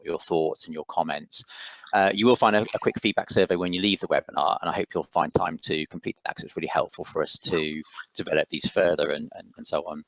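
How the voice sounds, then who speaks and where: A man's voice: deep voice, fairly bright tone, no room sound. A man hosting an online webinar, speaking politely and formally with some hesitation as he gives announcements. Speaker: webinar host. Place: online video call. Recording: dull, dry online call audio.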